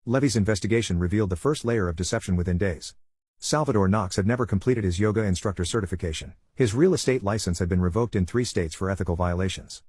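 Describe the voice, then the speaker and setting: Male narrator for scripted drama. Lowish pitch, brisk pace, very dry recording. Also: a little mellow, husky, no room sound. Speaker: story narrator.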